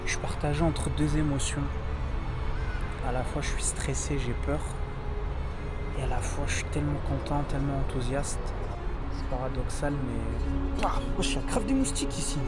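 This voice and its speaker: Young man, excitable vlogger, hushed asides, outdoors at night, loud music behind. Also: on the high side, normal speed, long pauses. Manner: excitable, drops to hushed tones. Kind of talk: on-location vlog. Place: outdoors at an urban monument at night.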